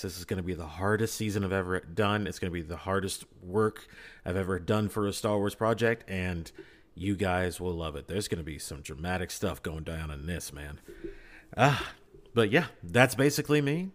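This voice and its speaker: A man's voice: sits low, normal speed. A man chatting casually as a podcast guest, with an emphatic, repetitive way of phrasing things. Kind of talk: casual chat.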